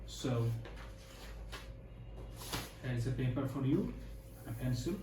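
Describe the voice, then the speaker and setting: A man acting as an IELTS examiner in a mock speaking test, explaining the format in a slow, instructive way with a lot of repetition. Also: mid-range voice, expressive, noisy background. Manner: slow, instructive, repeating phrases. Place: exam room indoors. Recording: echoey room, faint ambience.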